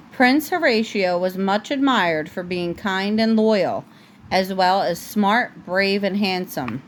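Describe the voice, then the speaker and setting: Woman reading aloud over noticeable background noise. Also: mid-range voice. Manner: unpolished home reading, stumbling over words and breaking off. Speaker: parent reading a bedtime story to a child. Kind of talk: read-aloud storybook narration with casual asides to a child. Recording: noisy home recording.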